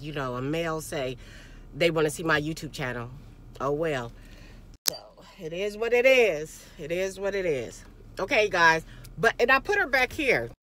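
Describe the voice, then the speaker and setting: A woman's voice: sits low, bright, forceful tone, slight background noise. A woman in her forties talking casually to viewers from a parked car, recorded on a phone held at arm's length. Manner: chatty, loose and conversational, often calls listeners "girl". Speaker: vlogger. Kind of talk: casual chat. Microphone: phone camera at arm's length.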